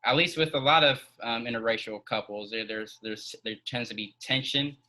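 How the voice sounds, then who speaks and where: A man hosting an interview, asking questions with hesitant pauses and many fillers. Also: mid-range voice, bright, forceful tone. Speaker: host.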